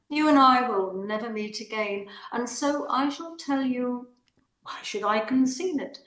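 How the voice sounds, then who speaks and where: A woman playing a restrained, elegant married lady, wistful yet resolute, in a measured amateur play reading. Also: a little high, echoey room, natural voice, poor audio.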